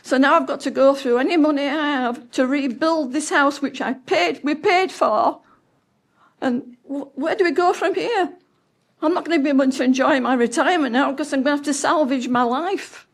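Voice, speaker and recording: A woman in her seventies, her voice sitting high, speaks at a county meeting while distraught and close to tears. She halts, breaks off mid-word and slips into nervous laughter. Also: normal speed. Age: 70s. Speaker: resident giving public comment. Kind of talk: public comment at a county meeting.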